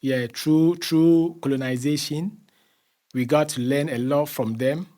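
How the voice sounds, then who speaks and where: A man's voice: on the high side, steady tone, unhurried, dark tone. A man from Ghana with a Ghanaian accent speaks as an interview guest, explaining conversationally and often repeating phrases as he searches for words.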